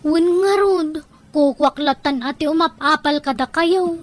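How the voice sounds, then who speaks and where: A woman's voice: high voice, measured pace. A woman with an Ilocano Filipino accent acting an envious, teasing younger woman in a homespun audio drama. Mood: admiring, teasing.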